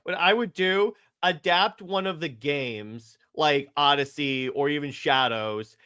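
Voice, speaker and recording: Bright-voiced man chatting as podcast co-host. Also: fairly high pitch, takes it slowly, smooth. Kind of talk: casual fan chat, reading and answering viewer questions.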